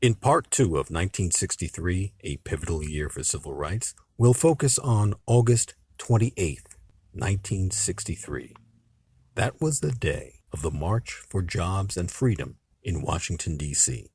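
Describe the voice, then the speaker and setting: An American man hosting and narrating a scripted history documentary in an old-time radio storytelling style, with measured, dramatic emphasis. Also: low-pitched, expressive, a little mellow, slightly rough, no echo. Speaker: host and narrator.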